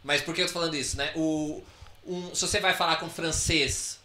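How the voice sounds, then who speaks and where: Chatty man host, bright forceful voice, somewhat high, rambling stories, echoey room. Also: fairly even intonation, fairly quick. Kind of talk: casual interview chat. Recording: roomy echo.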